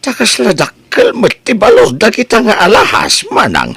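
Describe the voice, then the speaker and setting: A man's voice: sits high, huge pitch swings, normal speed, gravelly, exaggerated voice. A man with an Ilocano accent, acting a worried younger relative in an audio drama, often questioning. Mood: excited. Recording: soft music behind.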